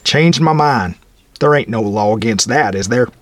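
A man voicing a furtive, mean petty thief who talks in a surly, defensive way with rough grammar. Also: mid-range voice, expressive, normal speed, no echo, lightly acted. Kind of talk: storybook character voice.

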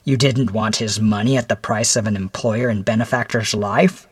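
A man voicing a mysterious, calm older gentleman who questions coolly and deliberately in a dramatic audiobook. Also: on the low side, husky, dry recording, clean studio sound. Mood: stern, probing. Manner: deliberate, matter-of-fact, drawn-out questioning. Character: mysterious older bespectacled visitor, calm, coolly probing inquisitor.

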